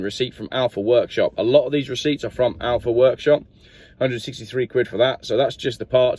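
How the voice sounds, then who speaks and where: A man talking through a car's history and receipts in a casual, chatty review vlog, often asking the viewer questions. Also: mid-range voice. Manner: chatty, rambling, asks viewers directly. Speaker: car reviewer.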